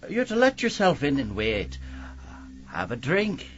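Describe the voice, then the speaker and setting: An actor playing a wary neighbour who talks in terse phrases in a radio drama. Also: male voice, fairly high pitch, huge pitch swings, measured pace, dull audio, slight background noise. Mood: matter-of-fact. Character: terse, wary male neighbour in a block of flats.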